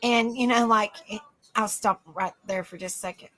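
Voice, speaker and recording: A woman hosting a casual livestream, chatting softly with viewers by name and reading notes aloud. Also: mid-range voice, steady tone, moderate pace, low-quality recording. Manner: soft, easygoing, chats with viewers by name. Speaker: livestream host.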